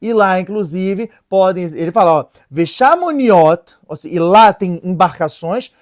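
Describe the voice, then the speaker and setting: A woman presenting a casual lesson in an animated, joking way, stopping to read and translate sources. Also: on the low side, moderate pace. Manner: animated, playful, joking asides; reads and translates sources aloud. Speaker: religious video presenter.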